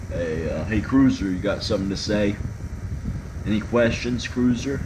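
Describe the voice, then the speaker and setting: A man hosting a casual livestream chat, joking around and rambling with lots of ums and restarts. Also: fairly low pitch. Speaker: livestream host reading chat. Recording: clear background hum.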